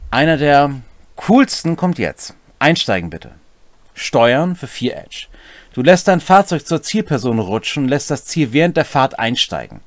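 Middle-aged man, lively intonation. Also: medium pitch, a little slow, dry recording. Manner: colloquial, wry commentary. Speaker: host of a tabletop role-playing rules series. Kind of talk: casual explanation of game rules with asides. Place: small furnished room at home, indoors.

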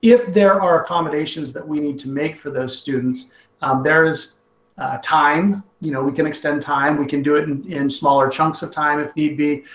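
A man's voice: a little high, steady tone, normal speed. A middle-aged man in his forties speaking as a school principal at an online town hall, talking conversationally with frequent ums and restarts over a laptop webcam at home. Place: home room with wall clock. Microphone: laptop webcam on video call. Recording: echoey room on video call.

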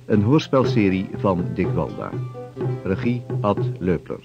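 A man's voice: deep voice, big pitch swings, a little slow, creaky. A man formally announcing the title and credits of a radio play. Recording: dull vintage radio, loud music behind.

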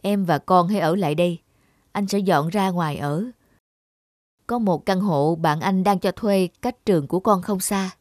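A woman's voice: fairly low pitch, normal speed, darkish tone, dry recording, studio quality, pitched below the performer's own voice, lightly acted. A woman voicing an irritable, self-important husband and father in a cartoon, blunt and dismissive. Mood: resigned. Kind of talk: cartoon dubbing.

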